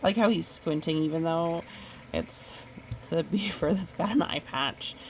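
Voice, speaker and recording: A woman reading a picture book aloud in a chatty, expressive way, with casual asides. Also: deep voice, unhurried, a little hiss or hum. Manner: chatty, expressive storytelling with playful sound effects. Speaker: storybook reader.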